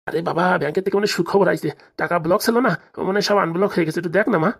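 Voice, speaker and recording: A woman's voice: on the low side, very fast, creaky, husky. A woman playing a scheming, impatient moneylender father in a comic cartoon, blustering and insistent. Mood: coaxing, impatient.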